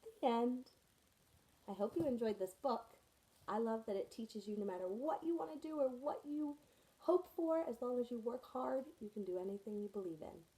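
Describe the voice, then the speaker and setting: A woman's voice: fairly high pitch, moderate pace, dark tone, rough recording. A woman teacher reading a children's picture book aloud to her students, storytelling expressively with friendly asides.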